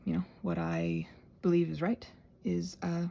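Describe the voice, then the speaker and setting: A woman's voice: on the low side, fairly even intonation, a little slow, creaky, mellow, a little hiss or hum. A woman chatting casually and quirkily as she hosts a rambling craft video, drifting playfully between asides. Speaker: host of a crafting channel. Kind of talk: casual rambling craft chat, ASMR channel.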